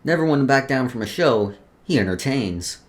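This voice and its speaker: A man's voice: mid-range voice, animated, normal speed. A man hosting a let's play, reading game lore aloud with casual asides and often stumbling over words before restarting.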